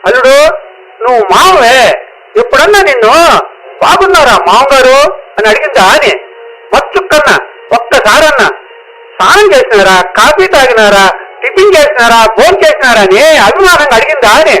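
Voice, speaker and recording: A man's voice: extremely high pitch, very animated, moderate pace, pauses often, pushed and bright, a little hiss or hum, full character voice, poor audio. An actor playing a grumbling elderly father with a rustic regional Telugu accent in a radio drama, indignant and blustering. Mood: aggrieved.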